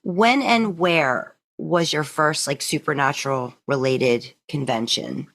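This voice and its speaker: A woman's voice: deep voice, slow, creaky, fairly bright tone. A woman hosting a podcast, chatting casually and interviewing guests. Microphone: video call.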